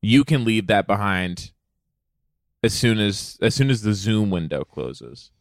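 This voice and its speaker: A man co-hosting a comedy podcast over a video call, joking in an animated way. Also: lowish voice, a little slow, gravelly, no echo, clean studio sound. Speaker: podcast co-host. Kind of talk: improvised comedy banter.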